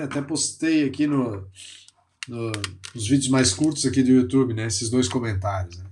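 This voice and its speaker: A man from Brazil talks through a report in a relaxed, conversational way, speaking a little stuffily because he has a cold. His voice sits slightly higher than most men's. He keeps tagging his sentences with quick filler checks. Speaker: finance YouTuber. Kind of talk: casual commentary on a report.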